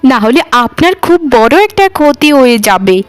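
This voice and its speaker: A woman's voice: sits high, very animated, normal speed, clean tone, no room sound. A woman voicing a poor, loyal maid in a cartoon, who speaks humbly and respectfully to her mistress. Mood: devoted. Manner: pleading, respectful. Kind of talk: cartoon voice acting.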